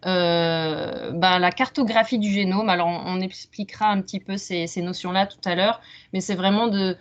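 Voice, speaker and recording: A woman in her thirties from Franche-Comté in France, presenting science informally and haltingly on a video call. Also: fairly low pitch, fairly even intonation. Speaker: researcher, doctoral student presenting. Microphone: video call microphone. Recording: muffled video-call audio.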